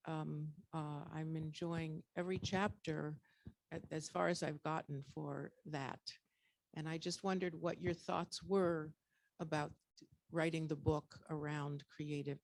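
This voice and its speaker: A woman's voice: low voice, steady tone, a little slow, vocal fry, darkish tone, no echo. A woman in her eighties asking a spontaneous audience question in a tentative, rambling way. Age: 80s.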